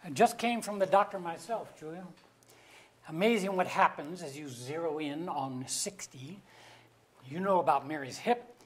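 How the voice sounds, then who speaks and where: A woman's voice: low-pitched, moderate pace, natural voice. A woman playing a droll, grumbling husband nearing sixty in a staged play reading, telling wry anecdotes.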